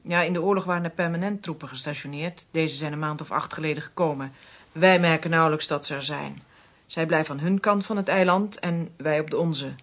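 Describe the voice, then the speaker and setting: An actress playing an earnest, polite researcher in a Dutch radio drama, explaining things a little hesitantly. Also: female voice, sits low, a little fast. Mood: explaining, matter-of-fact. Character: earnest female seal researcher, worried about her father.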